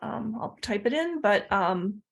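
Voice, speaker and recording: A woman's voice: mid-range voice, lively intonation, unhurried, gravelly. A woman trainer talking informally in an online workshop, explaining and offering practical suggestions as she answers questions.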